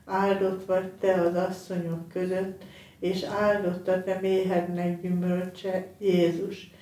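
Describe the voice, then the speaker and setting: A woman leading a rosary, reciting the prayer in a measured, monotone way in a very echoey space, away from the mic. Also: lowish voice, distant mic.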